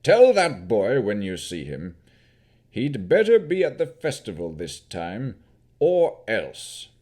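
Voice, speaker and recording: A man's voice: fairly low pitch, huge pitch swings, slow pace, mellow, heavily acted. A man voicing a stern noble father who gives commanding, threatening orders.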